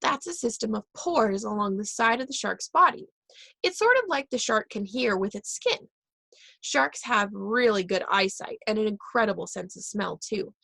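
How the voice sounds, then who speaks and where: A woman reading a children's book aloud, pausing and correcting herself now and then. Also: mid-range voice, expressive, creaky, fairly bright tone, no echo. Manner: reads aloud with pauses and self-corrections. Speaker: reader.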